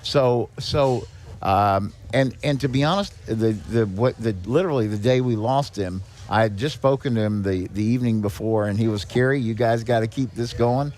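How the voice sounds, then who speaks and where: A man's voice: mid-range voice, normal speed, a little mellow. A man talking conversationally as a podcast guest, explaining things and often repeating or restarting his words. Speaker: guest, director of a seniors' charity.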